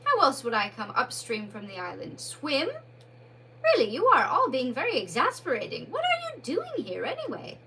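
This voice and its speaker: Woman doing a squeaky, fussy little mole. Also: huge pitch swings, moderate pace, sharp tone, far from the mic, slight background noise, full character voice, low-quality recording. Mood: exasperated. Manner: squeaky, exasperated. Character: fussy, irritable male mole, small creature.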